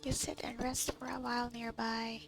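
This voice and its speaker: Creaky-voiced woman gamer. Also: on the high side, little pitch movement, normal speed. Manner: musing to herself. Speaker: gamer recording gameplay. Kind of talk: casual gameplay commentary, reading choices aloud. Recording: game music behind voice.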